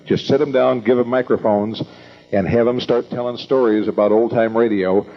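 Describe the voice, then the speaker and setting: An older American man hosting an evening meeting, introducing speakers informally in a halting, rambling, folksy way. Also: medium pitch, fairly even intonation. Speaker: event host introducing speakers. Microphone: event microphone. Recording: dull lo-fi event recording.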